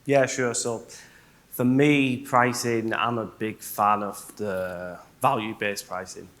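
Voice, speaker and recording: A man's voice: fairly low pitch, level tone, slow pace, fairly bright tone. A man speaking on a conference panel as a business manager, explaining his points step by step and often checking in with "right?".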